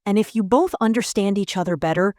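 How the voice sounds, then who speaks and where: A woman's voice: mid-range voice, brisk, mellow, dry recording, studio quality, natural voice. A woman playing a confident, teasing teenage girl who talks frankly and playfully to a friend in an anime-style dubbed story. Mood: frank, encouraging.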